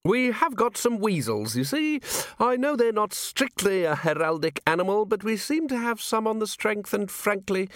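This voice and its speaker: Fussy little old herald, really high voice, eager n polite, up and down, storybook. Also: male voice, no echo, studio quality.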